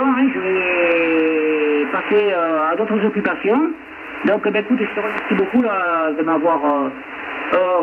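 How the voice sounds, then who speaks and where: Tinny radio link, clear static, higher-pitched man, rambling casual chat, repeated phrases. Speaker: amateur CB radio operator. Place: received over CB radio.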